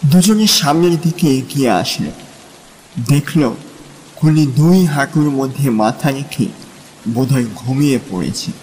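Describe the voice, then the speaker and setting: A man narrating a literary story in a measured, dramatic style. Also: mid-range voice, a little mellow, slight background noise. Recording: long reverb, music and rain behind.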